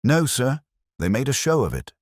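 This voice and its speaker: A man's voice: mid-range voice, very animated, moderate pace, breathy edge, no room sound, clean studio sound, voice pushed up. A man voicing an eager, deferential police sergeant, a puzzled sidekick who asks questions in a detective story.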